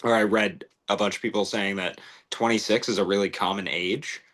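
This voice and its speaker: A man in his twenties speaking in casual chat, hesitant and full of pauses. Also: lowish voice, fairly even intonation, a bit bright. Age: 20s. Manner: hesitant, many ums and restarts.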